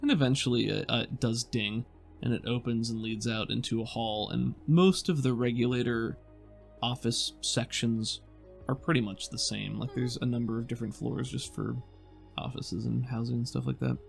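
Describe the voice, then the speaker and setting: Creaky-voiced man narrating a tabletop game. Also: medium pitch, steady tone, normal speed, faint music behind. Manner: descriptive, conversational, thinking aloud. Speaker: game master.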